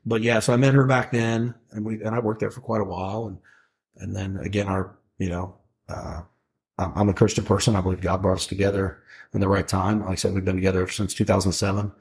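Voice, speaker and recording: A man's voice: sits low, flat delivery, fast talker, a little mellow. A man in his forties, a guest in a casual interview, talking in a rambling way with many fillers and restarts. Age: 40s. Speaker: guest, food truck owner.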